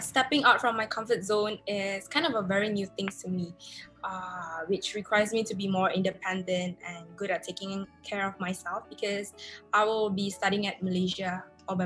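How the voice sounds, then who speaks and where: A woman's voice: medium pitch, a bit bright, poor audio. Earnest young woman, mid voice. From Indonesia. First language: Hokkien. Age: young adult. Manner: earnest, hesitant with frequent uhs. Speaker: podcast guest. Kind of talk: casual chat.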